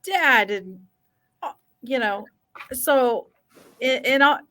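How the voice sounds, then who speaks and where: Female podcast host; friendly, casual, halting restarts. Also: high voice, animated, sharp tone. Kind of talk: casual interview conversation.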